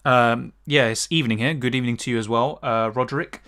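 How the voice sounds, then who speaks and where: A man's voice: mid-range voice, steady tone, fast, a bit bright. A man with a British accent, chatting casually on a livestream and answering viewer questions with lots of fillers. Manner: chatty, thinks aloud with many fillers and restarts. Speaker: fantasy football streamer.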